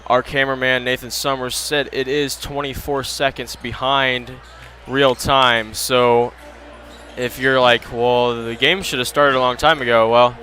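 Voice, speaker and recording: Man, Midwestern American accent, sports announcer, rambling chatty pregame talk, bright tone. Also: mid-range voice, flat delivery, measured pace, soft background music. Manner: rambling, chatty, thanking sponsors. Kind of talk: casual pregame commentary. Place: high school gym.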